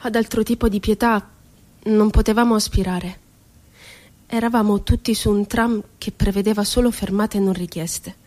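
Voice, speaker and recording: A woman's voice: medium pitch, fairly even intonation, normal speed, darkish tone, lightly acted. An actress playing an ironic, wounded woman poet confined in an asylum, telling her story expressively in a radio theatre monologue. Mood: wry, mock-innocent.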